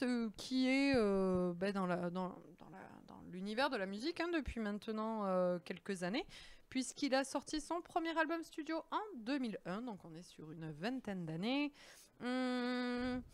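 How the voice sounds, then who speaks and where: A young woman co-hosting a live-stream music quiz, playful and teasing, often pausing on fillers as she gives clues. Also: fairly high pitch, clear, resonant tone. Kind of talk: casual live-stream chat.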